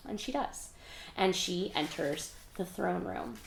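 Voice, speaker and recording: A woman's voice: lowish voice, slow pace, creaky, reverberant, slight background noise. A woman hosting a readalong as its author, recapping the story casually and wryly with dramatic emphasis and some swearing. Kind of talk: casual, animated book recap.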